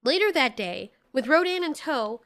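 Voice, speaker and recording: A woman narrating a story as a storyteller, in an animated style. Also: high-pitched, normal speed.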